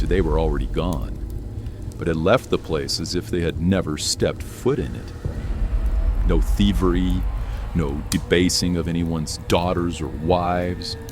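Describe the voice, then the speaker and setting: A man voicing a weary, brooding French warrior of the Middle Ages in an audio story, speaking in a deliberate, reflective way. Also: low voice.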